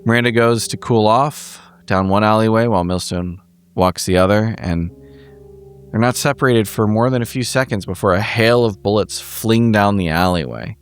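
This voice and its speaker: A man giving a casual spoken recap of a horror roleplaying story, in measured, wry phrasing. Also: lowish voice, smooth, soft background music. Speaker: podcast player giving the episode recap.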